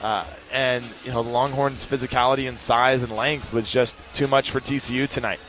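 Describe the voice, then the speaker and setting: A man working as a play-by-play commentator, breaking down a basketball game in a measured, analytical way. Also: mid-range voice, fairly even intonation, fairly bright tone, soft background music, low-quality recording. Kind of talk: sports analysis talk.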